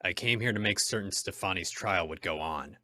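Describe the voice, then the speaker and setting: A man's voice: sits low, brisk, bright, forceful tone, no room sound. A man acting a stern, righteous masked vigilante in an old-time radio drama, speaking in a commanding, declarative way. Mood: resolute.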